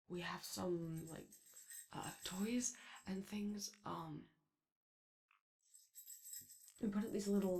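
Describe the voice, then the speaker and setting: Casting: female performer as gentle, childlike robot daycare helper, nervous and eager, lowish creaky voice, very lively intonation, halting delivery for ASMR roleplay. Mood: helpful, unsure. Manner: hesitant, rambling, halting speech. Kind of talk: improvised-sounding ASMR character roleplay.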